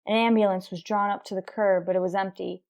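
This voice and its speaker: A woman's voice: mid-range voice, fast, muffled recording, natural voice. A woman playing a determined, businesslike police detective sergeant, narrating a radio crime drama in a clipped, matter-of-fact way. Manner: terse, deadpan, procedural. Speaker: narrator and lead actor.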